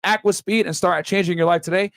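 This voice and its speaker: A man hosting a podcast, casually reading out listener messages and thanking senders in slangy, bro-heavy shout-outs. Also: high-pitched, steady tone, fast, vocal fry, no room sound, clean studio sound. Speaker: podcast host.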